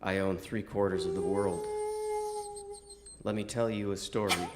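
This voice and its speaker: A man voicing a somber, mysterious man who tells a parable in a slow, grave, declamatory way, in a dramatic story performance. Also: sits low, steady tone, voice pushed down. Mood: grave, mournful. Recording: music behind the voice.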